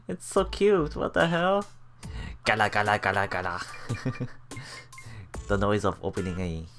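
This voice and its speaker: A woman's voice: a bass voice, unhurried. A woman doing a casual let's play, reading visual novel text aloud and reacting with giggly asides. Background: game music.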